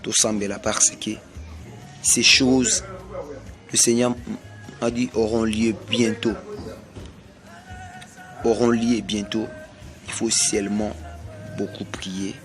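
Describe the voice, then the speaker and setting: A man from Congo with a Congolese French accent, delivering a slow, repetitive prophetic sermon. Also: fairly low pitch, little pitch movement, fairly bright tone, soft background music. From Congo (DRC). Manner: slow, repetitive, emphatic pronouncements. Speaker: self-styled prophet.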